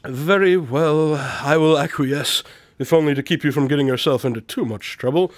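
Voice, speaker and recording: An actor playing an eccentric, condescending detective-inventor in a radio drama, speaking in formal, precise, calmly pompous diction. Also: male voice, a little high, moderate pace, no room sound, studio quality, lightly acted. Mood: reluctant, pompous.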